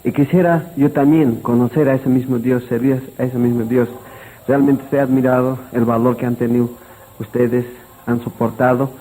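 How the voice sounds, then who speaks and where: A man playing a humbled, admiring character who speaks haltingly and earnestly in a radio drama. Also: mid-range voice, steady tone, dark tone, slight background noise, close to an everyday voice, rough recording. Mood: humble, moved. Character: humbled, admiring man moved by persecuted believers.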